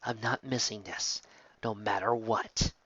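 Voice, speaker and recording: A soft-spoken, determined young mare, as a male reader puts her on, hushed yet firm. The tone is bright and the recording is dry and dull. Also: extremely low pitch, fairly even intonation, a little slow, slightly rough, dry recording, exaggerated voice. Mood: hushed, resolute. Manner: quiet, hushed and resolute.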